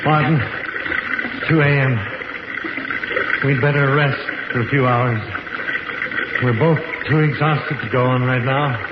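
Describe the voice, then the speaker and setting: An actor playing an exhausted professor and cave explorer in measured, deliberate 1940s radio style. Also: male voice, medium pitch, fairly even intonation, lightly acted. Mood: weary. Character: exhausted male professor, cave explorer guiding his companion. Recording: noisy, echoey, dull 1940s radio recording.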